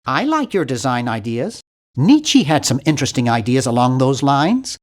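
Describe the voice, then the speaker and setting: Casting: man to play nerdy young game hero, nasal, very animated intonation, timid, polite, hesitant, earnestly bookish. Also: medium pitch, moderate pace, natural voice. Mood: awkward, friendly. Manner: awkward, hesitant, earnest and fussy. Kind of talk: video game character voice lines.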